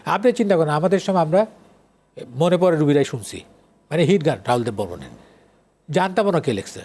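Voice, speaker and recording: An older man with a Bangladeshi accent and a somewhat higher-pitched voice. He speaks in a casual interview style, explaining things conversationally and often checking that he is being followed. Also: expressive, a little fast, darkish tone. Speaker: guest, song lyricist and writer.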